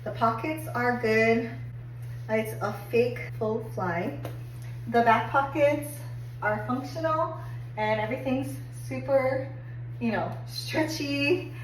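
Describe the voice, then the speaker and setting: A woman getting over a cold and a little hoarse, chatting upbeat through a clothing try-on review with lots of "like"s. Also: a little high, slow pace. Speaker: fashion haul vlogger. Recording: echoey room, distant mic, faint hum.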